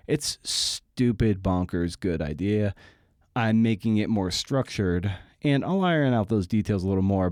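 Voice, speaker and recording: A podcast host, a man with a lowish voice recorded very dry. He talks casually and conversationally, with a slangy, irreverent streak. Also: a little mellow, no echo.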